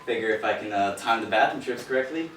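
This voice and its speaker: A man's voice: lowish voice, fast talker, fairly bright tone, natural voice. A man acting a cocky skirt-chasing radio actor on stage, with a smug, mocking delivery. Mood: smug, teasing. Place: live stage in a theatre. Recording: echoey theatre from far off, noisy.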